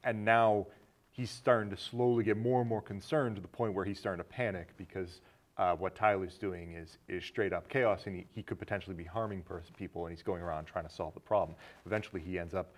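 Guy, 30s, lowish voice, chatting thru a movie plot on a film show. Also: steady tone, fairly quick. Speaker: film discussion show co-host. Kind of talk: casual movie discussion, retelling a plot. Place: small indoor studio set with curtains.

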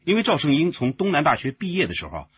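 A man acting in a radio drama as a sharp-minded detective laying out case findings. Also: fairly high pitch, huge pitch swings, rapid-fire, lo-fi sound. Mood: explaining.

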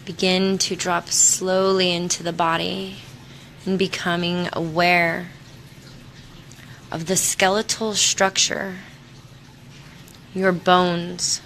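A woman leading a guided meditation in a soothing, unhurried manner, leaving long pauses between short instructions. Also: on the low side, little pitch movement, fairly bright tone, a little hiss or hum. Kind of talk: guided meditation, body scan.

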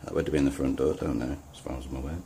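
A man from the UK exploring a dark abandoned pub, talking to his handheld camera in low, muttered asides. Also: level tone, very fast, slight background noise. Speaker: urban explorer. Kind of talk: quiet solo exploring commentary.

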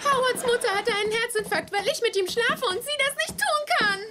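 An actress playing a sweet but touchy young fiancée in a dubbed sitcom. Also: female voice, very high-pitched, fairly quick, background noise. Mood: indignant.

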